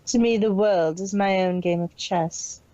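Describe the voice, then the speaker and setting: A woman's voice: medium pitch, a little slow, dark tone, no echo, highs cut off, lightly acted. A woman voicing a cold, detached, imperious female pony villain boss in an amateur story reading. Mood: smug, self-assured. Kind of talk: amateur fan fiction audio reading.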